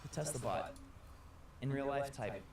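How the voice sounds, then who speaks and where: A man co-hosting a casual podcast, explaining things loosely with lots of "like" and quick put-on quotes. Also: mid-range voice, vocal fry, darkish tone. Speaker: video creator and podcast co-host. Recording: echoey room, far from the mic, noisy.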